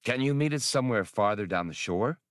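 Man as a bold space rogue, animated. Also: fairly low pitch, fairly quick, dry recording, studio quality, natural voice. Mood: decisive. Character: bold, hot-tempered male space rogue adventurer.

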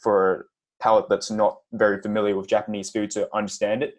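A young man, appearing as a podcast guest. His voice is on the low side, and he speaks in a halting way, stumbling often out of nerves. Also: flat delivery, a little mellow. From Australia. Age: 20s. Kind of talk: casual interview.

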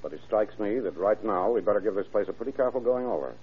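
An actor playing a shrewd, skeptical detective lieutenant in a clipped, hard-boiled old radio drama style. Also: male voice, fairly low pitch, lively intonation, fast talker, slightly rough, lo-fi sound. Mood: suspicious, brisk. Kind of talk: vintage radio crime drama acting.

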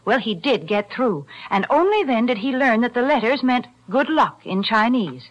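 A woman delivering a sponsor's pitch on a 1940s radio show in a brisk, storytelling manner. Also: very animated. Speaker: sponsor announcer. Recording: dull 1940s radio broadcast.

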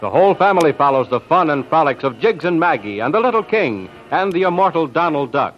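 Old timey radio announcer guy, very animated, highish voice, muffled recording w music behind. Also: fairly quick. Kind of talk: 1930s radio serial announcing, scripted.

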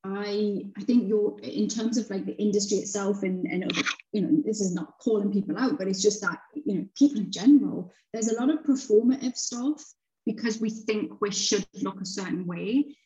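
A woman's voice: medium pitch, moderate pace, mellow. Rambling woman in her thirties, slightly muffled. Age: 30s. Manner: rambling, restarts often, laughs while talking. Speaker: podcast guest. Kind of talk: casual conversation.

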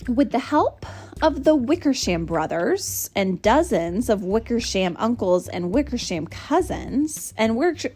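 A woman's voice: fairly high pitch, a little mellow, breathy edge, full character voice. A woman voicing a bossy, scornful kangaroo mother with a haughty, snapping manner in a storybook reading.

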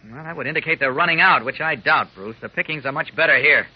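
An actor playing an earnest, determined investigator in old radio drama style, thoughtful and deliberate. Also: male voice, on the high side, breathy edge. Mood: earnest, puzzled. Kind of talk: 1940s radio acting. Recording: muffled old radio recording.

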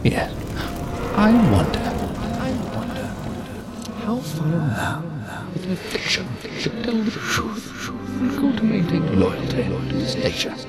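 A man's voice: sits high, wildly swooping pitch, full character voice. An inhuman calculating intelligence with a lightish voice that swings dramatically up and down. He muses in lofty, formal phrases, ominous and curious, over prominent music in a sci-fi audio drama. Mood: wondering. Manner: formal, grandiose, drawn-out musing. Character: theatrical non-human calculating entity, coolly curious and ominous. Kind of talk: science fiction audio drama monologue.

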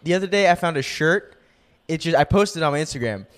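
A man's voice: a little high, lively intonation, fast, fairly bright tone. A young man co-hosting a podcast in casual chat, joking and laughing a lot, slipping into mock voices. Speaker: podcast co-host.

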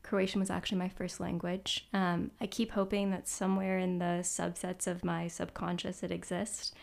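A young adult woman, a guest journalist, sounding hoarse from a cold and chatting casually with plenty of ums. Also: on the low side, flat delivery, normal speed. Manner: chatty, self-deprecating, lots of ums. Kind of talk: casual interview chat.

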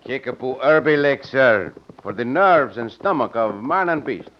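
A dull, faintly noisy old radio western recording carries a male actor as a gallant Mexican cowboy hero. He speaks in formal, courtly phrases with a Mexican accent, sure of himself and lively in pitch. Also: normal speed, natural voice. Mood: amused, teasing.

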